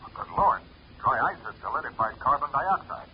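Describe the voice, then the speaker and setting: A man's voice: medium pitch, big pitch swings, a little fast, natural voice. An actor playing an educated, anxious doctor in 1940s radio style, precise and formal in his exclamations. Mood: shocked, alarmed. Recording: dull, lightly noisy old radio recording.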